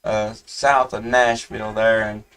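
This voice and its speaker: A man with a Southern US accent chatting casually as a ham radio operator, in a folksy, halting way. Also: lowish voice, little pitch movement, fairly bright tone, clear, resonant tone, far from the mic, faint music behind. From Tennessee, United States. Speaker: amateur radio operator, live streamer.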